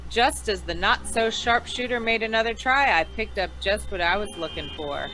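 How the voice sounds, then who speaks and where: A woman's voice: mid-range voice, animated, normal speed, bright, forceful tone, poor audio. A woman playing a wry, hard-boiled insurance investigator, narrating an old-time radio noir in slangy style. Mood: tense, punchy.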